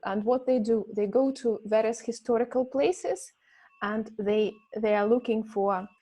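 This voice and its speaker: A Russian-native woman hosting an English conversation club over a video call, talking casually and halting often with fillers and restarts. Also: a little high, dark tone. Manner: hesitant, many fillers and restarts. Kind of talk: casual teaching chat.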